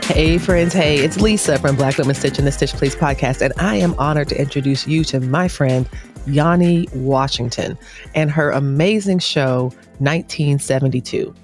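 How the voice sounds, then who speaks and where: A woman's voice: low voice, normal speed, faint music behind. A woman hosting a podcast, introducing in a conversational way. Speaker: podcast host.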